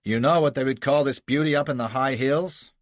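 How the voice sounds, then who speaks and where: A man voicing a gruff, blustering American colonel who barks scornful outbursts, with an American accent. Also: brisk, dry recording, lightly acted. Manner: barking, scornful, booming outbursts.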